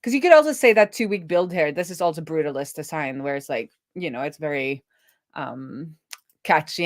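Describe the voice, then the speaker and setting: A woman's voice: low voice, no room sound, clean studio sound. A woman hosting a casual coding livestream, thinking aloud informally as she works. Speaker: livestream host, web developer. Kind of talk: casual live coding commentary.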